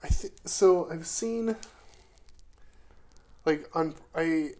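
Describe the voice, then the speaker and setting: A man's voice: fairly high pitch, extremely slow, darkish tone, slight background noise. A man with a slightly clogged-up voice chatting casually, often hesitating and trailing off unsure. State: congested, a bit clogged up. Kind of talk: casual chat.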